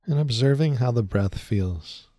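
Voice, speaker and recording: A man leading a guided meditation in a soothing, unhurried way, speaking in short phrases with long pauses. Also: mid-range voice, animated, dark tone, no room sound, studio quality.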